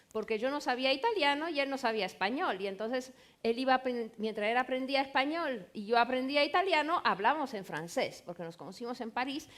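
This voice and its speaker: A woman novelist whose first language is Romanian talks on a literary panel. Her voice sits high and swoops through a wide, lively range. She tells her stories animatedly and drops playful asides. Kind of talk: conversational panel talk.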